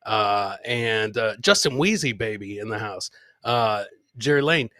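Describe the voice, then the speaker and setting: A man's voice: lowish voice, expressive, measured pace, pushed and bright, no echo, clean studio sound. A man hosting a live comedy podcast, chatting informally with viewers and swearing casually. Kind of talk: casual live show chat.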